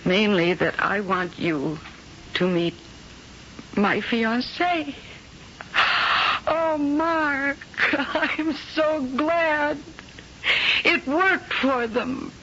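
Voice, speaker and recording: A woman's voice: sits high, animated, extremely slow, long pauses, background noise, heavily acted. An actress playing a glamorous, flirtatious woman singer in a 1970s radio drama, with a theatrical manner. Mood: tender. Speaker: leading lady. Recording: muffled old radio broadcast with music.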